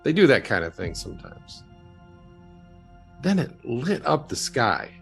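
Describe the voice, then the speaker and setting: This is a haunted, earnest man played by an actor in a radio play. His medium-pitched voice is gravelly, and he tells his tale haltingly, as someone still shaken. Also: sing-song, faint music behind. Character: haunted, earnest male UFO witness and author. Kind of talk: audio drama monologue.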